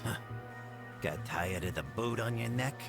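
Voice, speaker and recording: Gravelly streetwise goblin. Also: male voice, fairly low pitch, lively intonation, measured pace. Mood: wry. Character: scrappy male goblin ally, streetwise and bitter. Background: game music.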